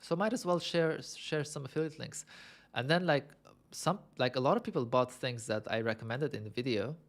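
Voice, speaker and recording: This young man from Lebanon has a gravelly edge and sits at an ordinary middle pitch. He chats loosely on a livestream, musing aloud with plenty of ums and uhs. He sounds amused at himself as he answers his viewers. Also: normal speed. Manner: chatty, self-amused, thinks aloud with many fillers. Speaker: livestream host. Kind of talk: casual livestream chat answering viewer questions.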